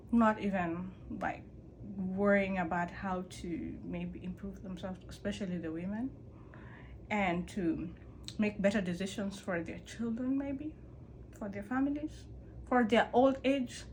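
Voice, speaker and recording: A woman's voice: a little hiss or hum, rough recording. A woman vlogger from Kenya, talking candidly about letting go of control in a conversational personal monologue. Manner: candid, conversational, animated emphasis with pitch jumps. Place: Canada.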